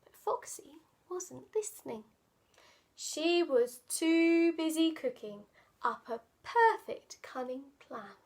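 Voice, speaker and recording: A woman reading a children's picture book aloud, dramatic and playful, often dropping into hushed asides. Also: high-pitched, expressive, takes it slowly. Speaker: children's storyteller.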